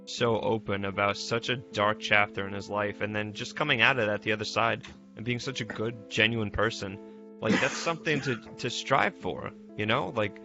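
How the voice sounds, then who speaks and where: A man with a low but bright, forceful voice chats informally, repeating words for emphasis. The call audio is slightly muffled and music plays softly underneath. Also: normal speed, vocal fry. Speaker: podcast group member. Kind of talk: casual chat on a group call.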